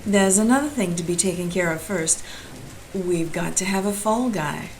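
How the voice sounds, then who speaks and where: A woman's voice: lowish voice, moderate pace, a little mellow, a little hiss or hum, lightly acted. A woman playing a tough, wisecracking female private detective in a community radio play, blunt and hard-boiled. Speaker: actor in community audio drama.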